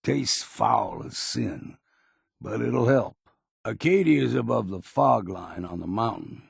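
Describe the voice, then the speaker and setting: An actor playing a gruff, cynical old wilderness guide in a video game, speaking in a gravelly, husky voice with a curt, folksy manner. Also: male voice, lowish voice, very animated, slow, no echo, clean studio sound, full character voice. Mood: gruff warning. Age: older. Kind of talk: video game character dialogue.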